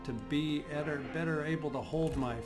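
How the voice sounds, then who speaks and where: Guy doing strategy game commentary, bit scratchy, thinks out loud, music going behind him. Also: fairly high pitch, normal speed. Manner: thinking aloud, hesitant, throat-clearing. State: slightly scratchy voice. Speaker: host of a gaming channel. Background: video game music.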